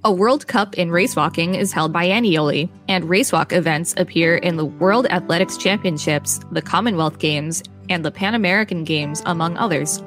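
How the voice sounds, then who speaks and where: Female podcast co-host, lowish register, reads reference text with offhand asides, faint music underneath. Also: normal speed, hardly any pauses, fairly bright tone. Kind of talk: reading an encyclopedia article aloud with casual asides.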